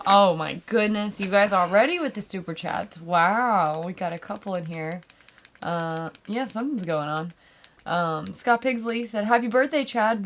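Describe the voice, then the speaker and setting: A woman co-hosting a live chat show, her voice a little hoarse and scratchy. She talks in a chatty, easygoing way and reads out messages from viewers. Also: medium pitch, fairly bright tone. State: slightly hoarse, losing her voice. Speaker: co-host.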